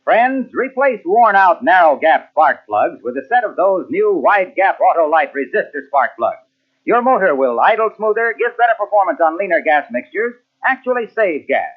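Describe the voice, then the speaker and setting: A man's voice: high-pitched, big pitch swings, normal speed. A man announcing in an emphatic salesman's delivery, reading a scripted 1940s radio advertisement. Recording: tinny old radio recording, music behind.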